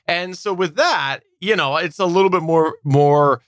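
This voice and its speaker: A man's voice: a little high, a little slow, fairly bright tone, clean tone, dry recording, studio quality. A man co-hosting a podcast, explaining things in an informal, conversational way. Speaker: podcast co-host. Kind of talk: casual explanatory podcast talk.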